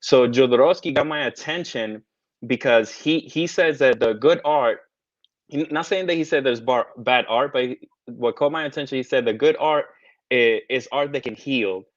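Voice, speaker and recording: A man in his thirties speaks as an interview guest at a middle pitch, often stopping to restart his words and filling gaps with ums. The recording is dry and dull, like a video call. He talks in a loose, casual way. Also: normal speed, dry recording. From United States, raised partly in the Caribbean. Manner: hesitant, many restarts and fillers. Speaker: guest, tarot artist.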